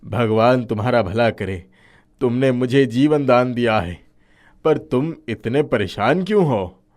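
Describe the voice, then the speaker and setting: A man's voice: medium pitch, lively intonation, measured pace, darkish tone, close to an everyday voice. A man voicing a frail, benevolent old holy man in a cartoon moral story, solemn and blessing. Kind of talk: animated moral story acting.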